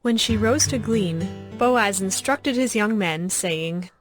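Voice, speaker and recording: A woman's voice: mid-range voice, takes it slowly. Woman narrating scripture over music.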